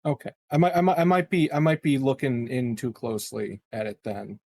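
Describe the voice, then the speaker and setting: A man's voice: mid-range voice, fairly even intonation, a little fast, warm tone. A man playing a tabletop roleplaying game, talking casually and tentatively as he thinks aloud in character. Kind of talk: casual improvised roleplay talk.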